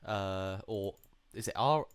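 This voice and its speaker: A man's voice: fairly low pitch, takes it slowly, crowd noise. A young man chatting casually as a podcast co-host, explaining things in a rambling way full of fillers. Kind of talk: casual chat.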